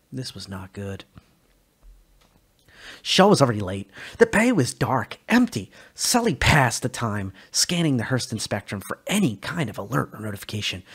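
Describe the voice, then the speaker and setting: A man reading a sci-fi short story aloud as narrator, expressive with small slips. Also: medium pitch, husky. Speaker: reader.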